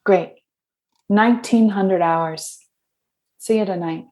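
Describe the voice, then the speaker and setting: A woman's voice: mid-range voice, slow, warm tone, lightly acted. A woman acting a teasing, guarded space officer with a dry manner in an audio drama. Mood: sincere, confident.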